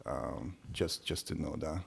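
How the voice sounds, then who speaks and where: A man whose first language is Hungarian, talking casually on a podcast with many pauses and restarts. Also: rumbling low, gravelly, slight background noise. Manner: hesitant, many restarts and fillers. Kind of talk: casual conversation.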